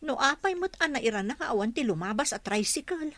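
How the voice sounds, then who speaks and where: A woman's voice: sits high, animated, fairly quick, fairly bright tone, no room sound. An actress playing a dutiful young wife and mother in a radio drama. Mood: polite, hurried.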